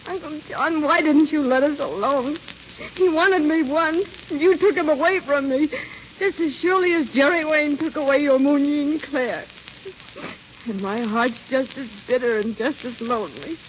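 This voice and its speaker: An actress playing a passionate, devoted young niece in love, earnest and pleading, in an old radio drama. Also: female voice, high voice, moderate pace, natural voice. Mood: bitter, hurt. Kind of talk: 1940s radio drama acting. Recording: muffled, crackly old radio broadcast.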